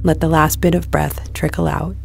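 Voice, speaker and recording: A woman guiding a meditation in a calm, soothing, measured delivery, letting her phrases end softly. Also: low voice, vocal fry, soft background music. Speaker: meditation guide. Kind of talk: guided meditation narration.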